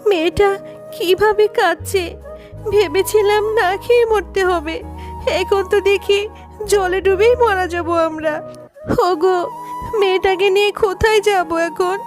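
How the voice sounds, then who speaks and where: Woman doing poor anxious cartoon village mom, super high pleading voice, music behind. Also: fairly even intonation, unhurried. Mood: frightened pleading. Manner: plaintive, pleading. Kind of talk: cartoon dialogue.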